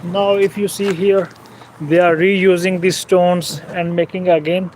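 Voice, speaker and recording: A man narrating a casual travel vlog outdoors in a small village, speaking halting, plain English with pauses. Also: high voice, little pitch movement. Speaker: travel vlogger. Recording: faint outdoor noise.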